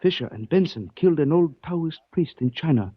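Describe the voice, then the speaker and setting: Courteous, menacing Chinese avenger, husky. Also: male voice, fairly high pitch, lively intonation, no echo. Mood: grave, polite. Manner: formal, courteous, measured phrasing. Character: polite, menacing Chinese man avenging his murdered father. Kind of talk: 1940s radio detective drama acting. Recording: muffled 1940s radio recording.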